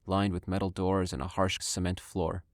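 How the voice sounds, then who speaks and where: A man's voice: low voice, flat delivery, moderate pace, dry recording, studio quality. A man reading scripted narration for an audio drama. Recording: loud ambient sound effects bed.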